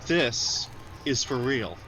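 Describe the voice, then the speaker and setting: An actor playing a grim government intelligence officer, stern and deliberate, in old-time radio drama style. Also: male voice, medium pitch, sing-song, pushed and bright, a little hiss or hum, heavily acted, low-quality recording. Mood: grim warning.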